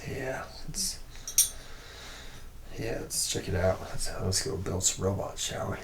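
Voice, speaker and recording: A man's voice: expressive, unhurried, noisy background. A man playing a jaded, boozy factory worker in an ASMR roleplay, rambling in a hesitant, sardonic drawl that often drops toward a whisper. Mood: laid-back, sly. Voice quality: gravelly, low, partly whispered.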